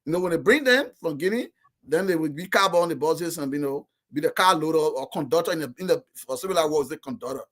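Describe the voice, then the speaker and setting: A man's voice: a little high, expressive, quick pace, fairly bright tone. A man from Liberia with a Liberian English accent, giving emphatic, insistent explanations in a casual discussion. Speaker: political commentator.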